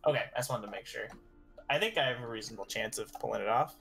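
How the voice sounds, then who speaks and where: A man playing a tabletop game, chatting casually and thinking aloud in a halting way. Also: lowish voice, vocal fry, a bit bright, soft background music.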